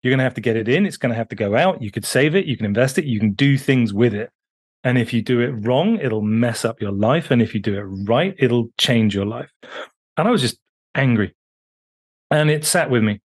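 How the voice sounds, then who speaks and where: A British man in his fifties, a guest author on a remote call, telling his story in a lively way that jumps up in pitch for emphasis. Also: brisk. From Britain. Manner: animated, pitch jumping up on emphasis, frequent restarts. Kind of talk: conversational interview storytelling.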